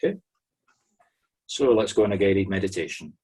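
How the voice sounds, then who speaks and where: A Scottish man leading a guided meditation on a video call, soothing, with pauses between short, simple instructions. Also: deep voice, animated, a little mellow, husky. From Scotland. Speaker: spiritual medium leading a meditation group. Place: online video-call circle.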